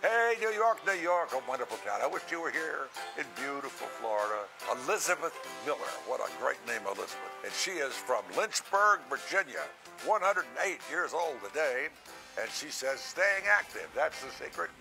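Lively creaky male presenter, music behind. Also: on the high side, normal speed, low-quality recording. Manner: exuberant, chatty. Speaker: television presenter. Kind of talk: folksy broadcast birthday greetings.

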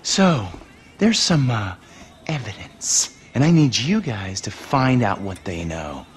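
An actor playing a smug, volatile, corrupt American government agent in a video game, showy and self-righteous. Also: male voice, lowish voice, sing-song, slow, slight background noise. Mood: smug, coaxing. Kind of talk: cinematic video game acting.

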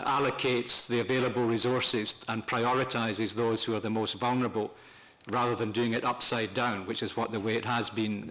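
An older man presenting semi-formally to a meeting, speaking off the cuff in a measured, halting way with pauses mid-phrase. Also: mid-range voice, sharp tone. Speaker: panel speaker at a human rights meeting. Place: parliament committee room, indoors. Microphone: desk gooseneck microphone.

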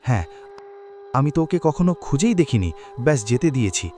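A man's voice: mid-range voice, very animated, fast talker, darkish tone, slight background noise, lightly acted. A man playing a bewildered, pleading young man in a dramatic cartoon story. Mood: remorseful. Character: bewildered, anxious young man haunted by lost love.

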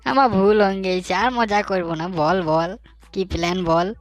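A man playing a hapless, cheeky young bachelor in a comic cartoon, in colloquial rural western West Bengal dialect with a pitched-up voice. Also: fairly even intonation, a little slow, no echo, soft background music. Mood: pleading. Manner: colloquial, pleading, bantering.

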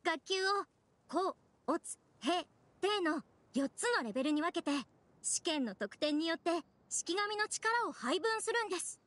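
A woman's voice: very high-pitched, expressive, measured pace, a bit bright, no room sound, heavily acted. A woman voicing a bubbly, polite young girl guide in an anime game, chatty and eager to explain. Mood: helpful. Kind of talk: Japanese anime game voice acting.

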